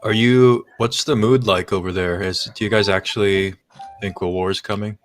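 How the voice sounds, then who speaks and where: Very deep-voiced man in casual chat. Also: fairly even intonation, moderate pace, faint music behind. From United States. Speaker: stream chat participant.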